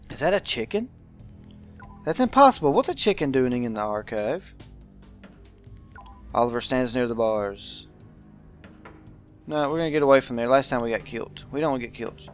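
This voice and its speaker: A man hosting a visual novel let's play, reading game text aloud and adding informal commentary. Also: mid-range voice.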